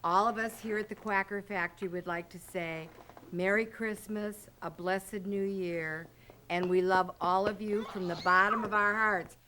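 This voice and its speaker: A woman's voice: medium pitch, fairly even intonation, normal speed, sharp tone, a little hiss or hum. A woman hosting a holiday gathering, chatting informally in a folksy way to the group, with music behind her. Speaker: host of a family holiday reading.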